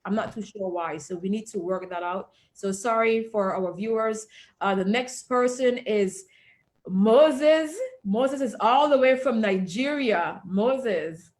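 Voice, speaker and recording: A woman's voice: mid-range voice, takes it slowly, darkish tone. Brief: woman host of a video-call chat, emphatic, keeps checking in with her listeners. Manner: emphatic, repeats "all right?" and "okay?".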